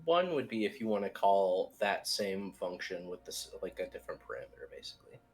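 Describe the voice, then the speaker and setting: A man's voice: low-pitched, sing-song, brisk. A man giving an informal technical tutorial as team lead, explaining things in a loose, rambling way. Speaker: software team lead, instructor.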